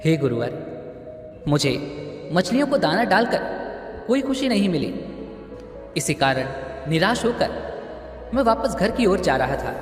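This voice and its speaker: Earnest young seeker, pleading and questioning, as a man reads him, lively pitch, roomy reverb. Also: a little slow, long pauses, faint music behind. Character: earnest young man seeking true happiness.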